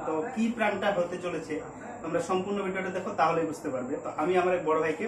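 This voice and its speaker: A man's voice: high-pitched, racing, noisy background. A young man talking casually and insistently, as a prankster on a phone call. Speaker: prank caller and video host.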